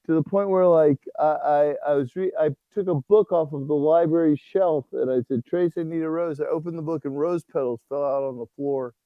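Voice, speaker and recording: A man, a priest, chatting on a livestream and telling stories in an animated way, laughing often. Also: fairly high pitch, no echo, dull audio. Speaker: priest and guest author. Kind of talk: casual livestream conversation.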